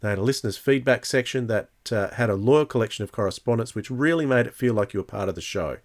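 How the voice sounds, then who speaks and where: A man hosting a podcast in a casual, hesitant way, chatting and reading out comments in a halting delivery. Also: medium pitch. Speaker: podcast host.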